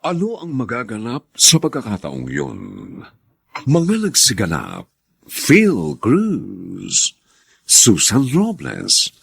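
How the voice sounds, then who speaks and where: A male radio drama announcer with a low, husky voice and hugely animated intonation. He reads scripted narration and credits slowly and dramatically, with a Filipino accent. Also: no echo.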